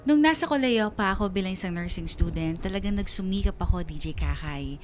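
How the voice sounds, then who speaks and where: An actress playing an earnest Filipina daughter, narrating her life story in a sentimental, measured radio-drama style. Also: medium pitch, lightly acted. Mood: earnest, wistful. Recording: music behind the voice.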